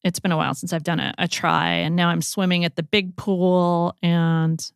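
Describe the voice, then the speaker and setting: A woman's voice: fairly low pitch, fairly even intonation, moderate pace, smooth, no echo, clean studio sound. A woman hosting a podcast in a casual, conversational style. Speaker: podcast host. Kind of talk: casual chat.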